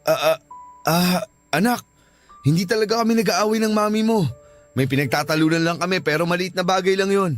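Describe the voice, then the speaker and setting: Actor as overworked Filipino husband, high male voice, defensive, radio drama style, faint music. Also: a little fast, close to an everyday voice. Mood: placating.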